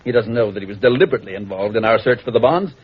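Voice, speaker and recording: An actor playing a cold, calculating villain in a vintage radio melodrama, smug and menacing in his deliberate delivery, with a husky voice. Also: lowish voice, expressive. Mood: smug menace. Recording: muffled vintage radio recording.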